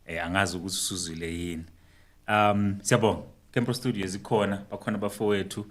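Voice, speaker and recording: A man hosting a podcast in a relaxed, chatty way, mixing Ndebele and English. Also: deep voice, quick pace. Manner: relaxed, chatty, laughs easily. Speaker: podcast host.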